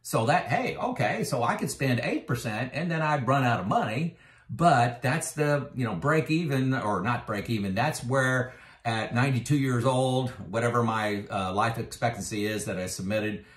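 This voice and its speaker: A man's voice: medium pitch, normal speed, roomy sound. A man in his fifties talking casually about his own finances, conversational with frequent fillers and growing emphatic when he makes a point. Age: 50s. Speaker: retirement finance vlogger. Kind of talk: casual explanatory talk to camera.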